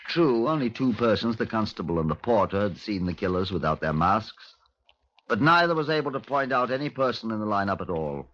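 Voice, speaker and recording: An actor playing a dry, authoritative middle-aged Scotland Yard inspector with a British accent in a 1950s radio drama. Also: male voice, on the low side, very animated, fairly quick, a bit bright. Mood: measured, formal. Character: measured, wry, authoritative male Scotland Yard police inspector. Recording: dull, lo-fi 1950s radio recording.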